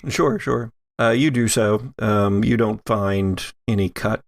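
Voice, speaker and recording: A man narrating a tabletop roleplaying game conversationally as game master, pausing to think as he goes. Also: fairly low pitch, gravelly, no echo, clean studio sound.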